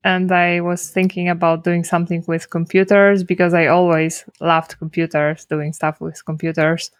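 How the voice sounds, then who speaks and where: A woman speaks English in a fairly low, flat voice. She talks hesitantly, restarting phrases and leaning on "like". The sound is dry and close, with no room around it. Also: moderate pace, no echo. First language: Polish. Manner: hesitant, many restarts and "like" fillers. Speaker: guest, SEO consultant. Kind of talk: casual interview.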